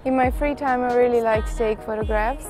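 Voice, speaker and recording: A woman in her twenties from Bulgaria, with a Bulgarian accent and a calm, even delivery, introducing herself to camera. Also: fairly high pitch, brisk. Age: 20s. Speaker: model contestant. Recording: clear background music.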